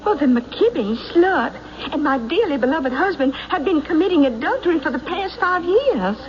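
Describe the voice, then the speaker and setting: A woman's voice: high-pitched, sing-song, moderate pace. A woman playing a haughty, spiteful wronged wife in an old radio drama, prim, melodramatic and accusatory, on a muffled recording. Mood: scornful, indignant. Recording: muffled old radio broadcast, faint noise.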